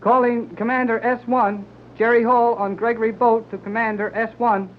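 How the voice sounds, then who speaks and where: An excitable young sidekick. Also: male voice, very high-pitched, normal speed, a little hiss or hum. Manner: excitable, folksy. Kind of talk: 1930s radio acting. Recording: dull lo-fi 1930s radio recording.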